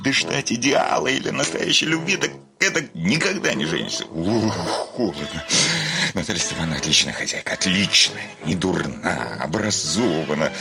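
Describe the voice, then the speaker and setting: Nervous, stammering low-voiced bachelor suitor. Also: male voice. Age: 30s. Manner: stammering, hesitant, over-polite. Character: nervous, fretful thirty-five-year-old bachelor suitor, hypochondriac. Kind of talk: theatrical radio play acting.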